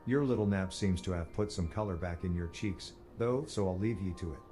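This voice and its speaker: A man plays a folksy male sailor in a game, fairly low in pitch. His talk is colloquial and teasing, with music behind it. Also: fairly quick, mellow, close to an everyday voice. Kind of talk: scripted video game dialogue.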